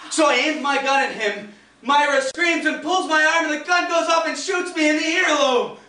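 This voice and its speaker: Male actor as tipsy flustered husband, very high voice, theatrical storytelling, stage comedy, heavy room echo, distant mic. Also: a little hiss or hum. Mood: frantic. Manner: theatrical, halting then excitable storytelling. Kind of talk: comic stage monologue. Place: indoor stage of a small theatre.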